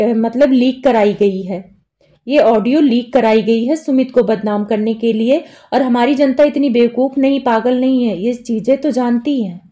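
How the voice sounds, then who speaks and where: High-pitched Indian woman, mellow voice. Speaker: YouTube commentator. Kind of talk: casual reaction commentary.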